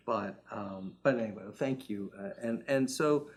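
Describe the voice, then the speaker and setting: A man from the Chicago area moderating a class conversation, speaking haltingly with many restarts. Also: lowish voice.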